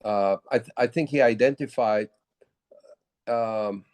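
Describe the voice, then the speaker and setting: An older man from Puerto Rico with a low voice speaks in a relaxed interview. He circles back and restarts his sentences often as he recalls old stories. The sound is dry and close. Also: no echo. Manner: halting, many restarts and fillers. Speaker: guest musician. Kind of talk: casual interview storytelling.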